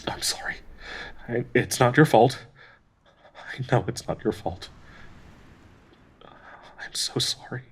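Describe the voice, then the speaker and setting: An actor playing a devoted, grieving male dryad in an intimate audio roleplay, speaking tenderly and haltingly with a husky, breathy voice. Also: medium pitch, in a whisper, full character voice. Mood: remorseful. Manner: slow, halting, tender pleading with sighs.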